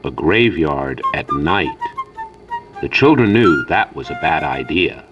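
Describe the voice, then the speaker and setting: A man's voice: extremely low pitch, very animated, slow, lo-fi sound. A man delivering scripted narration like an old children's safety film, in a theatrical, mock-earnest storyteller manner, over prominent music.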